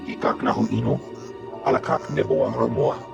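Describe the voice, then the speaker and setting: A man voicing a gruff alien creature that speaks in a guttural, gravelly alien tongue in a game. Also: fairly low pitch, steady tone, moderate pace, muffled recording, music in the background.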